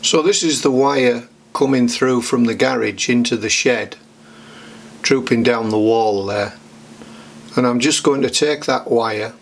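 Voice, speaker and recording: Slow-paced man giving a DIY demonstration. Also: medium pitch, vocal fry, slight background noise. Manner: matter-of-fact, explains step by step. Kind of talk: casual how-to demonstration.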